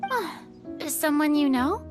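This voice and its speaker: A woman playing an earnest young female adventurer in a video game, direct and expressive. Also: high-pitched, slow pace, over music.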